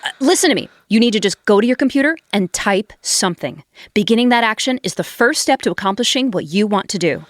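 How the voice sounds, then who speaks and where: A woman's voice: medium pitch, animated, fast, few pauses, no room sound, clean studio sound, lightly acted. A woman playing an earnest coach in a comedy sketch, emphatic and pleading like someone in a crisis. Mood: firm, coaching. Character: earnest, urgent female coach battling a procrastinator.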